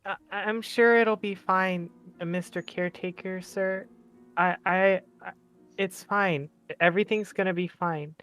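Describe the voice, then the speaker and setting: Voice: mid-range voice, fairly even intonation, measured pace, a bit bright. A tabletop game player casually acting out and narrating a character in a tentative, stammering way.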